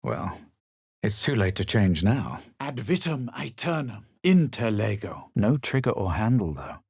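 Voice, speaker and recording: A haughty fantasy game adventurer. He is a self-assured man with a low, slightly husky voice. His delivery is measured, theatrical and superior. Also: sing-song, a little mellow, no room sound, clean studio sound, full character voice. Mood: forceful incanting. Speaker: video game voice actor. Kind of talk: fantasy game dialogue and narration.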